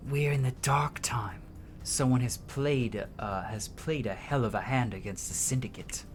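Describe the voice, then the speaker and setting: A man's voice: medium pitch, a little slow, over music. A man doing casual let's-play commentary, informal and sweary, reading game dialogue aloud as he plays. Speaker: game streamer.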